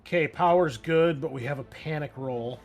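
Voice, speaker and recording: A man's voice: fairly high pitch, normal speed, a little mellow. A man running a tabletop roleplaying game as game master, switching between dramatic narration and casual rulings. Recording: music behind the voice.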